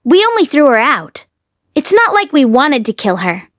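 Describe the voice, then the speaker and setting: A woman's voice: high-pitched, sing-song, normal speed, no echo, studio quality. A woman voicing a callous young man in an audiobook, gossiping with scornful, dismissive manner. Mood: smug contempt. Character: callous, sneering young brothers, gossiping spoiled men.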